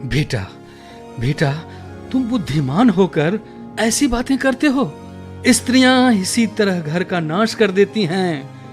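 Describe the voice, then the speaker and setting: An elderly village patriarch in a radio drama. The voice is high for a man and swings widely, admonishing yet placating, with faint music behind. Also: big pitch swings, takes it slowly, exaggerated voice. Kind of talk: Hindi radio drama acting.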